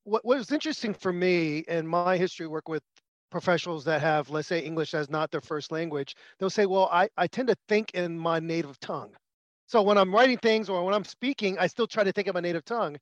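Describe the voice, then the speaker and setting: High-voiced man, muffled dry sound. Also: a little fast, no room sound. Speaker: podcast co-host. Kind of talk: casual interview chat.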